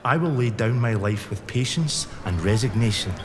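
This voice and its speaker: Here a man acts out a middle-aged earl facing execution, speaking in a measured, formal and earnest way. His voice carries the long reverb of a large hall, with a faint hiss underneath. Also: mid-range voice, animated, lightly acted. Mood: solemn pleading. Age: 40s. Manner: earnest, formal courtroom pleading. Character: condemned Scottish earl, middle-aged, remorseful and pleading.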